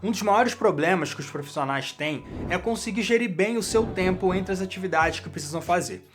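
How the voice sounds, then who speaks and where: A man's voice: high-pitched, lively intonation, fairly quick, faint music behind. A man with a Brazilian Portuguese accent, hosting a career channel and explaining to camera, speaking straight to the viewer. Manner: direct, addresses the viewer as "you".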